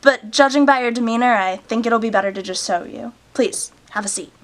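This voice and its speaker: A woman's voice: on the high side, fairly quick, natural voice. A woman playing a mysterious, unflappable guardian of a magical table in a screen drama, speaking with composed, cryptic authority. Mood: calm, commanding. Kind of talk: measured screen drama acting.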